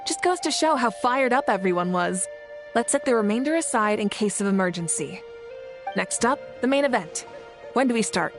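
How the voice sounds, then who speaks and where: A practical, upbeat young video game character. Her voice is a touch high, with music clearly audible behind it. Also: expressive, normal speed. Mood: pleased, eager.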